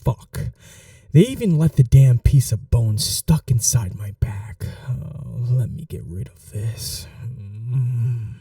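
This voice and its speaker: A man voicing an obsessive, possessive 500-year-old male vampire in an ASMR roleplay, talking in soft, slow whispers. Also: medium pitch, lively intonation, dry recording, studio quality, distant mic. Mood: sardonic.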